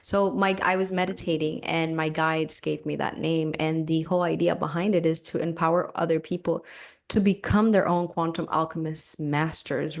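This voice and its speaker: A middle-aged woman from Cuba, a podcast guest, telling her story in a reflective way, with many fillers and restarts, laughing easily. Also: low-pitched. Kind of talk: conversational interview.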